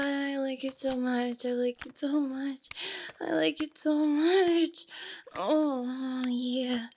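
A woman acts out an adult female boss turned submissive in intimate erotic audio roleplay. Her high voice crackles with fry as she pleads breathily between moans and whispers. There is faint noise behind her. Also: a little mellow, exaggerated voice.

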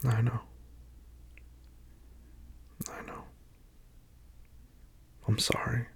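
A man speaking softly and reassuringly to a partner, often dropping to a tender whisper in an intimate one-sided roleplay. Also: takes it slowly. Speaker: boyfriend speaking to an unseen listener.

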